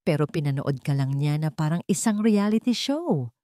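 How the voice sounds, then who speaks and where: A woman storyteller acts out an angry young male cousin in a radio-style drama. He is accusing and bitter, his delivery lively and confrontational, close and dry in sound. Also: sits low, moderate pace, no room sound. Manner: trembling with anger, confrontational.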